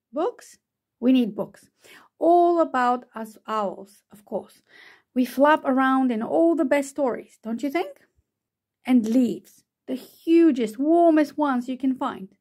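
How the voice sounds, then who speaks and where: A woman voicing an excitable, chatty know-it-all owl in a children's storybook read-aloud. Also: high voice, full character voice. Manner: excitable, gushing, boastful. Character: eager, chatty know-it-all owl, bossy but helpful.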